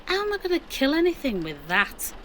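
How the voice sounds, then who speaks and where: A woman's voice: high voice, huge pitch swings, a little fast, noisy background. A woman playing a plucky, wry, middle-aged British mum in an audio drama, with a colloquial, dry-humoured delivery. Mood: wry, practical. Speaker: actor.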